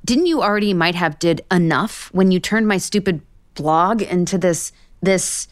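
An actress playing a flustered American woman author in a comedy audio drama. Her voice sits a little low but swings widely in pitch. She is sardonic and self-deprecating, halting and dropping into deadpan asides. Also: natural voice. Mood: sardonic, irritated.

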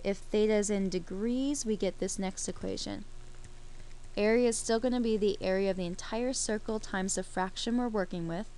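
A woman's voice: mid-range voice, moderate pace, dark tone, rough recording. A woman teaching a math lesson over a screen recording, explaining each step while she works the problem.

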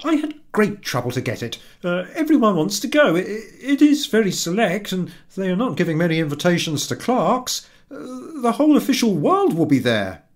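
Man playing a humble clerk husband, raised pitch, stammering, eager to please, storybook character. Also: sing-song, moderate pace, hardly any pauses. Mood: pleading, flustered. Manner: stammering, hesitant, pleading.

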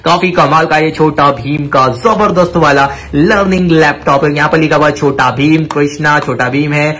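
A man hosting a toy showcase, talking to viewers with excitable, repetitive exclamations. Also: a little high, moderate pace. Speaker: toy review video host. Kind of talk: enthusiastic toy showcase commentary addressed to viewers. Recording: clear background noise with clicks.

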